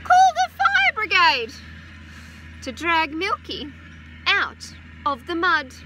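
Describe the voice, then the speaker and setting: Slow, bright-voiced teacher reading aloud. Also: female voice, extremely high pitch, huge pitch swings, a little hiss or hum. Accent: Australian. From Australia. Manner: gentle, unhurried storytelling with chatty asides to children. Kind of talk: children's story time read-aloud. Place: outdoors on a farm beside a utility vehicle. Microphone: phone camera held close.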